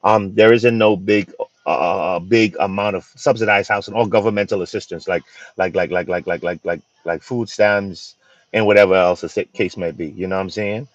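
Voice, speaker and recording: A man from the Bahamas co-hosting a casual podcast chat, halting and repeating words with many fillers. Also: low-pitched, fairly even intonation, dry recording, lo-fi sound. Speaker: co-host.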